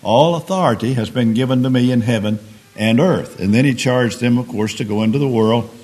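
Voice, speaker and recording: A man preaches in a hoarse, raspy voice. He talks plainly, middle-aged, leaning on everyday examples and often restarting his sentences. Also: mid-range voice, brisk, darkish tone. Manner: plain-spoken, homespun examples, frequent restarts. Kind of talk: sermon.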